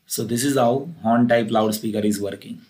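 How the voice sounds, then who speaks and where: A man's voice: medium pitch, steady tone, moderate pace, darkish tone. A man with an Indian accent giving a plain, methodical technical lecture, repeating key phrases as he explains. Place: voice-over for a screen recording.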